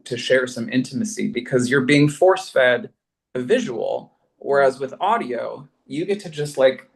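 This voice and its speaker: A man chatting casually and emphatically as a podcast creator on a discussion panel, with an enthusiastic way of talking. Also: medium pitch.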